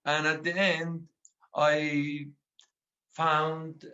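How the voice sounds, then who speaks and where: Slow, halting Afghan poet with an Iranian accent. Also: male voice, fairly high pitch, level tone, pushed and bright, lo-fi sound. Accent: Iranian-accented English. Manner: halting, many filler pauses. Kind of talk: panel talk about his life and poetry.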